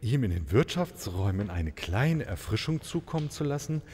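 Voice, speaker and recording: A man's voice: fairly low pitch, big pitch swings, moderate pace, darkish tone, in a large hall, slight background noise. A man playing a vain, pompous, ceremoniously courteous maharaja in a comic fairy-tale radio play. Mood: gracious.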